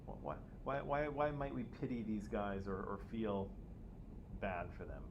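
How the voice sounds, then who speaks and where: A man's voice: fairly low pitch, lively intonation, a little slow, highs cut off. A man teaching a class, joking with students and asking questions, often tagging "right?" onto his points. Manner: jokey, self-teasing, checks in with "right?" constantly. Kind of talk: classroom discussion. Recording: noisy classroom audio.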